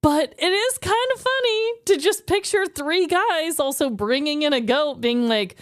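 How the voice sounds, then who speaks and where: A woman co-hosting a podcast in casual chat, expressive and reactive as she talks things over. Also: sits high, a little slow, dry recording, clean studio sound. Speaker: podcast co-host.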